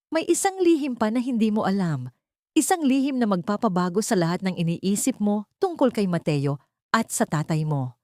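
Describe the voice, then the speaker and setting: Low-voiced Filipino woman narrating a dramatic story. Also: darkish tone, no room sound, clean studio sound.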